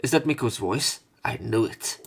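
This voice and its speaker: A man's voice: mid-range voice, normal speed, gravelly, breathy edge. A man reading visual novel text aloud and breaking off for casual, sweary let's play commentary. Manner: casual, sweary interjections between read lines.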